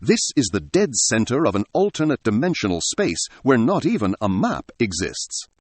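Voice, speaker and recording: A man's voice: medium pitch, sing-song, moderate pace, a little mellow, breathy edge, no room sound. A man voicing an alarmed, bewildered stranded explorer in a sci-fi story, exclaiming dramatically.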